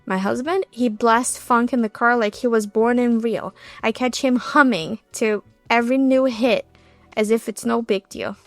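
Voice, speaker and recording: Young Brazilian woman, podcast host; heartfelt, confiding monologue, voice on the higher side, dry close recording. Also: fairly even intonation, normal speed, dry recording, soft background music. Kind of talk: reflective solo podcast monologue.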